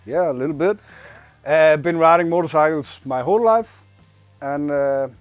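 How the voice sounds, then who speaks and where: A man's voice: a little high, moderate pace, no room sound, dull audio, faint music behind. A man in his late thirties from Denmark, speaking English with a Danish accent as a co-owner of a motorcycle shop, adding short, plain remarks. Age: 30s. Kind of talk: casual chat. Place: motorcycle workshop showroom.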